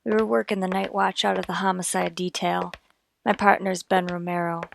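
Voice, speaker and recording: A woman's voice: fairly low pitch, little pitch movement, normal speed, gravelly, a little hiss or hum. A woman playing a terse, businesslike police detective in a radio crime drama, clipped and matter-of-fact. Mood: flat narration. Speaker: narrator and lead actor. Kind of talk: old-time radio crime drama acting.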